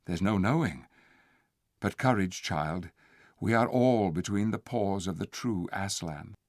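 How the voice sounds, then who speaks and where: A man doing a quiet, grave young king. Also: sits low, measured pace, no room sound, clean studio sound. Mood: quietly grave. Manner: quiet, steady. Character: grave, calm, courageous young male king.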